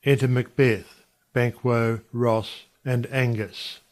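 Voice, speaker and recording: Man, scripted narrator, stage directions, very slow pace, medium pitch. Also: little pitch movement, darkish tone.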